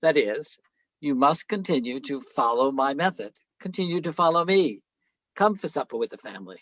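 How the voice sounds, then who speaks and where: An actor playing an older, self-absorbed philosophy professor in a radio play, lecturing pompously and haltingly. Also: male voice, on the high side, lively intonation, fairly bright tone, no room sound, highs cut off.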